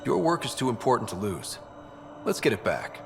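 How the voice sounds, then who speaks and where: An actor playing an earnest, confident young human explorer in a video game, speaking politely and eager to help. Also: male voice, mid-range voice, big pitch swings, normal speed, vocal fry. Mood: determined. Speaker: pathfinder. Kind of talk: video game dramatic acting. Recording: long reverb, faint music behind.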